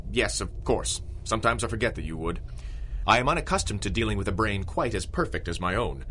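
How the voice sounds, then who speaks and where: A cold, arrogant genius villain for an old-style radio drama. The voice is fairly low and forceful, with imperious swoops. Clear noise sits behind it. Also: male voice. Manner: pompous, imperious.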